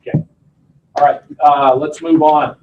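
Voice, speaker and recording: A man's voice: on the high side, little pitch movement, unhurried, muffled recording, a little hiss or hum. A man chairing a meeting, direct and wry. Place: large conference room. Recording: echoey, distant room sound.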